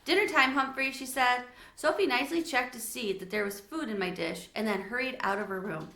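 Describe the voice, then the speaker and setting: A woman's voice: fairly high pitch, a little fast, fairly bright tone. A woman reading a children's storybook aloud expressively, like a teacher, with asides to her listeners. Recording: echoey untreated room.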